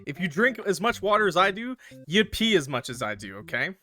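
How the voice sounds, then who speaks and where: Animated, bright-voiced man livestreaming a game. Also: high-pitched, fairly quick. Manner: excitable, playfully combative, swearing banter with viewers. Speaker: live streamer playing a game. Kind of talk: animated casual livestream chat and game commentary.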